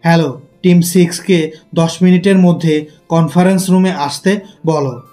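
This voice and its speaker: Guy playing a formal boss briefing his team, mellow voice, faint music behind. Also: on the high side, fairly even intonation, unhurried, natural voice. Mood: businesslike. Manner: formal, instructive. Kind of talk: audio story acting.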